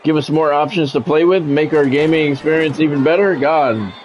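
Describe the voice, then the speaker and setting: Higher-voiced male game streamer, muffled audio. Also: fairly quick, darkish tone, slight background noise. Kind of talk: casual livestream chat mixed with sports play-by-play. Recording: dull lo-fi audio, music behind. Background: music.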